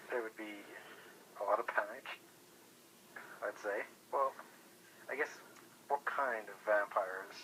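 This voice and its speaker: Over a phone line with faint noise, a man talks in a bright, forward voice. He chats casually as a guest, hesitating and working out his thoughts as he goes. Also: fairly low pitch, a little slow, long pauses. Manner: hesitant, thinking aloud. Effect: telephone. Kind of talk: casual chat. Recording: noisy phone call.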